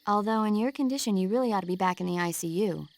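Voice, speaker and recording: An actress playing a friendly female medic who gives gentle instructions over the radio in a video game. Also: mid-range voice, fast talker, close to an everyday voice. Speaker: doctor.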